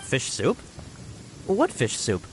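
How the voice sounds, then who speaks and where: A man's voice: medium pitch, huge pitch swings, slow pace, slight background noise. A man playing an earnest, deferential young subordinate in a video game, with a flustered, apologetic way of talking. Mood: defensive, flustered. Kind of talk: anime-style video game voice acting.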